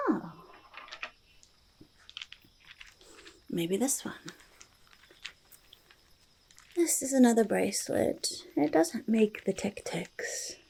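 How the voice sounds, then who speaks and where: A woman voicing a small, curious, gentle goblin in an ASMR roleplay, whispered and soft-spoken, breathy and creaky, often repeating little phrases. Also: medium pitch, slight background noise, exaggerated voice. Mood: delighted, gentle. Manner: refers to self in third person, repeats phrases softly. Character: small curious goblin, gentle, eager, easily delighted hoarder.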